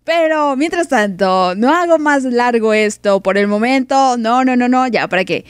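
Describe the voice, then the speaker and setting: A woman's voice: a little high, expressive, unhurried, smooth, dry recording, studio quality. A woman with a Mexican accent hosting a live-stream show in casual chat, playful and joking, often breaking into laughter. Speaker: host.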